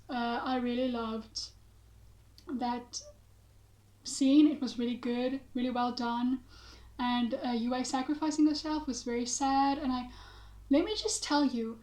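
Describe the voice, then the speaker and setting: A young woman with a raspy, hoarse voice from being sick, chatting casually and gushing as she reviews a show. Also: sits high, a little mellow. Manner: gushing, chatty, with many fillers.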